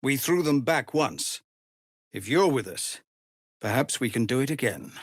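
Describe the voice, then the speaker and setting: An actor playing a weary, honourable middle-aged male tiefling soldier in a fantasy game, speaking in an earnest, formal, measured way. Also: medium pitch, animated, no room sound, studio quality. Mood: grave, resolute. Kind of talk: dramatic fantasy game dialogue.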